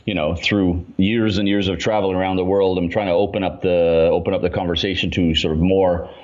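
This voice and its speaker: A man in his forties from Canada, talking about his work in a relaxed, chatty way with many fillers. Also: low voice, brisk, dull audio. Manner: relaxed and chatty, lots of fillers, laughs easily. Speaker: guest, urban design consultant. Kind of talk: casual interview chat.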